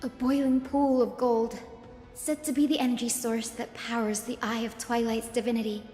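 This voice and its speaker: An actress voicing a gentle, polite young woman who guides and reassures the party in a game. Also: fairly high pitch, flat delivery, normal speed, close to an everyday voice. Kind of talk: game voice acting. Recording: roomy reverb with game music.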